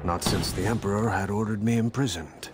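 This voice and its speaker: A man's voice: on the low side, a little fast, noisy background, close to an everyday voice. An actor playing a confident, battle-hardened warrior who narrates his campaign in terse, dramatic lines for a video game. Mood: confident, narrating. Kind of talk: scripted first-person narration, video game.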